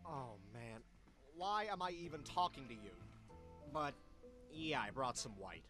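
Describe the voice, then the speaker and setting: Whiny little slacker cartoon animal. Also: male voice, mid-range voice, lively intonation, unhurried, sharp tone, soft background music. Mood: sluggish, doubtful. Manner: slangy, complaining. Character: small whiny slacker cartoon animal, male, late twenties. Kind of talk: voiced game dialogue.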